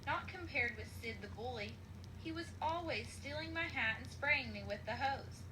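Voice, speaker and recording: Woman voicing an earnest young boy, high, noisy recording. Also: animated, normal speed.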